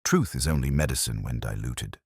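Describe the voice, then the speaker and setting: A man voicing a grave, brooding, cerebral detective in a storybook reading, speaking in measured, solemn aphorisms. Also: very deep voice, gravelly, slightly rough, dry recording, clean studio sound, exaggerated voice. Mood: grim, musing.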